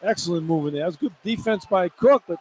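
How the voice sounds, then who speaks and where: Male basketball radio analyst, higher-pitched, folksy and colloquial, noisy arena broadcast. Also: animated, fast talker. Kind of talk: informal basketball color commentary. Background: clear arena noise.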